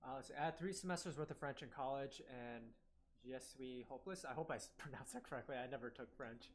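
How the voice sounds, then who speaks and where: A man's voice: medium pitch, fairly quick. A young man whose first language was Korean, chatting casually while live-streaming a game, rambling with lots of fillers and self-corrections. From United States.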